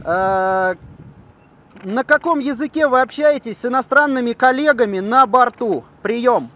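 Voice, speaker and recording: A middle-aged man speaking courteously and deliberately as an amateur radio operator, exchanging formal calls and questions outdoors. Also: very high voice, a bit bright, slight background noise. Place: outdoors on a city rooftop.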